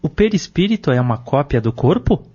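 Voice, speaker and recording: A mellow, husky Brazilian male voice from an actor plays an eager questioner in an audio drama. His mid-pitched delivery is animated and inquisitive, on a slightly dull recording. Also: brisk. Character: inquisitive male listener seeking explanations. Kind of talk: audio-novel dialogue.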